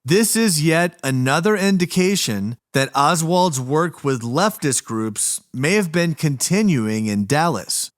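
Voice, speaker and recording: A man reading scripted documentary narration as a podcast host, in a measured, explanatory manner. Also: on the high side.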